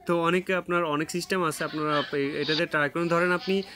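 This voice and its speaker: A man's voice: on the high side, fairly even intonation, fairly quick, bright, forceful tone, slight background noise. A man with a Bangladeshi Bengali accent hosting a casual screen-recorded app tutorial, filling his talk with many "uh"s and restarts. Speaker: tech tutorial host.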